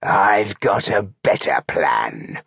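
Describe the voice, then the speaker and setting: Slow, rasping, brutal orc chieftain. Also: male voice, lowish voice, sing-song, a bit bright, dry recording, clean studio sound, voice pushed up, heavily acted. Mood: snarling menace. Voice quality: growly, rough. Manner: bellowing, snarling orders. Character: brutal, arrogant male orc chieftain, boorish and menacing.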